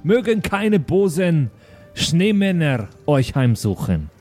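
Man acting grandiose magician, very slow, drawn-out. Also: fairly high pitch, very animated, warm tone, soft background music. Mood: triumphant. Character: vain, theatrical male magician savouring his audience.

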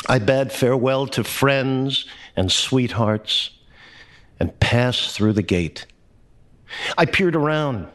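A man reading a literary short story aloud in a measured, expressive and deliberate way. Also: mid-range voice. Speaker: story reader.

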